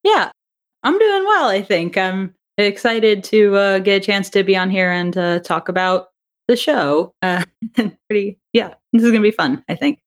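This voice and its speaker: A woman's voice: mid-range voice, lively intonation, measured pace. A woman chatting casually as an interview guest, rambling a little hesitantly and correcting herself as she goes. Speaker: podcast guest, audio drama creator.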